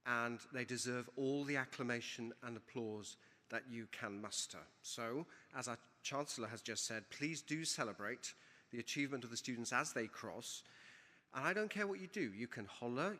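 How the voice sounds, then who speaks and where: Bright-voiced man in a hall. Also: mid-range voice. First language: Welsh. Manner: playful, theatrical and eloquent, switching between Welsh and English. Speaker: university provost presiding over a graduation. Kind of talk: ceremonial welcome speech. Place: large ceremony hall. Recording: long hall reverb.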